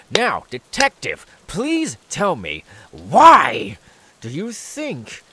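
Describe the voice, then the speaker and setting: A man's voice: a little high, pushed and bright, slightly rough, exaggerated voice. A man voicing a menacing, taunting killer simmering with anger in an ASMR audio roleplay, drawing out repeated words.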